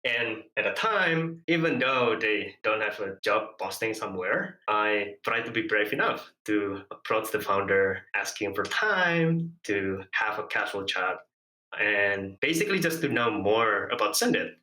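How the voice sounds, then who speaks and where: Earnest man, low and bright. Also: animated. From Indonesia. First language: Hokkien. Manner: earnest, measured, leans on "like". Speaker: guest, engineer. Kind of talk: reflective personal storytelling. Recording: echoey room.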